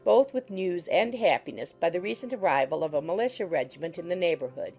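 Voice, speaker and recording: Woman narrator, scripted audiobook reading, soft dark tone, some vocal fry, faint music behind. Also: on the low side, lively intonation, brisk.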